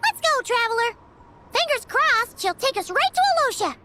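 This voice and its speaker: A tiny floating fairy girl in a video game, cheerful, chatty and childlike. The voice is extremely high and swings about eagerly in exclamations. Loud music plays behind it. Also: big pitch swings, moderate pace. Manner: exclamatory, childlike, refers to self by name.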